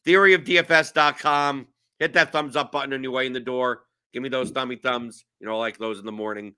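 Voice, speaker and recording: A man's voice: medium pitch, fairly even intonation, fairly quick, sharp tone, clean tone. A man in his forties from New York, hosting a casual show in a rambling, self-mocking way with lots of restarts. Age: 40s. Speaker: show host. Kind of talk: casual chat.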